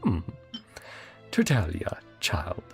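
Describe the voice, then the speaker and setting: A man's voice: low-pitched, huge pitch swings, slow, gravelly, darkish tone. A man streaming a game, commenting casually and reading game text aloud. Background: faint game music.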